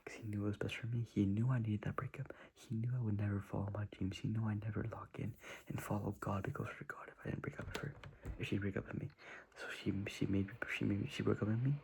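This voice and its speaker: A man's voice: fairly low pitch, level tone, quick pace, vocal fry, a little mellow, slightly rough. A young man giving earnest, rambling advice in a whispery voice, circling back and repeating himself.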